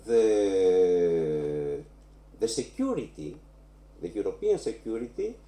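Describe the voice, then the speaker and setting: A man who is not a native English speaker, speaking as an academic panelist very haltingly, almost word by word. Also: deep voice, big pitch swings, mellow, slight background noise. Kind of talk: academic round-table discussion. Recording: roomy, echoing room.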